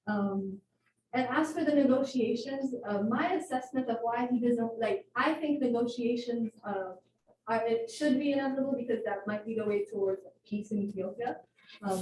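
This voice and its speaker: A woman's voice: fairly high pitch, dark tone, slight background noise. Frank woman, echoey and distant. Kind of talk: discussion answer. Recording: roomy hall, far from the mic.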